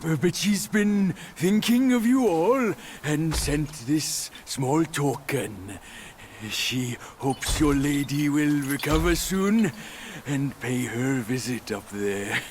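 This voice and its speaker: A man's voice: fairly high pitch, expressive, slow pace, hardly any pauses. An actor playing a brash, greedy male pig demon warrior who blusters and jokes, in a game cutscene with background noise. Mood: sly. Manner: blustering, loud and jokey.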